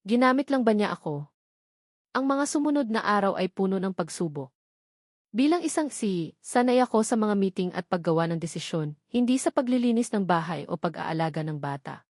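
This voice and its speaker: A woman reading a first-person dramatic story as a narrator, in a measured and earnest storytelling manner. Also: medium pitch, animated, a little mellow, no room sound, clean studio sound. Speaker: narrator of a romance audio drama.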